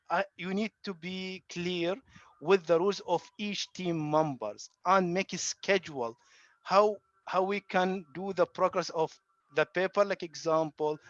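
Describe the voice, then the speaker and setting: A man from Iraq giving an online academic lecture, halting speech with frequent fillers and restarts. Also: sits high, no room sound, highs cut off. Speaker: guest lecturer. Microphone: computer mic on video call.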